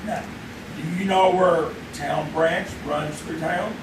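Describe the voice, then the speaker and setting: A man's voice: on the high side, steady tone, slow. A man playing a small-town sheriff in a live old-time radio drama, friendly and businesslike as he hands out a job. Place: small theater stage, live. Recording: echoey stage from far off, noisy.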